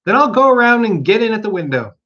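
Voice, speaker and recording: A man's voice: sits high, big pitch swings, quick pace, pushed and bright, dull audio, voice pushed up, full character voice. A man voicing a bossy, irritable male rabbit from a storybook, snapping out orders imperiously. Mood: determined.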